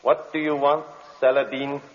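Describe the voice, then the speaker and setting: An actor playing the defiant, entranced soul of a sleeping magician, halting and drawn-out, in old radio horror style. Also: male voice, medium pitch, little pitch movement, close to an everyday voice. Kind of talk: 1930s-40s radio horror acting. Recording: muffled vintage radio recording.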